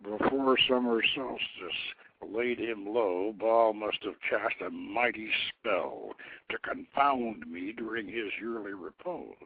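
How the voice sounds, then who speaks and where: A man's voice: lowish voice, breathy edge, full character voice. A man voicing a fierce, bitter warrior goddess in a haughty, brooding storybook reading. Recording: tinny phone-like internet radio audio.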